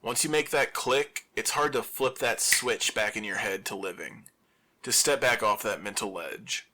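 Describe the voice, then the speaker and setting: An actor playing an anxious, overthinking zombie apocalypse survivor who broadcasts alone, rambling and self-deprecating in a halting confessional radio monologue. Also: male voice, lowish voice, sharp tone. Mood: troubled, reflective.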